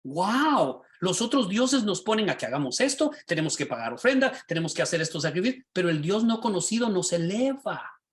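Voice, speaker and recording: Animated man teaching a class. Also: sits high, fairly quick. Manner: animated, rhetorical questions, asks the class directly. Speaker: pastor and teacher.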